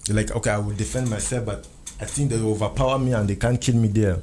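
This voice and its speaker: A man with a lowish voice whose first language is French tells a long personal story in English. He repeats himself and restarts phrases as he insists on each point. A faint hiss of noise sits behind him. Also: fairly even intonation, fast talker, dark tone. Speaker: guest. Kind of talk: recounting a personal ordeal in conversation.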